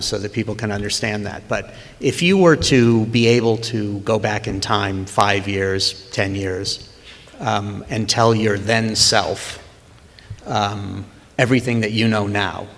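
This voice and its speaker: Gravelly-voiced male moderator, echoey hall. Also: lowish voice, a bit bright. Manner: halting, many fillers. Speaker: panel moderator. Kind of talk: conference panel talk. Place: conference hall. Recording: reverberant hall, faint room noise.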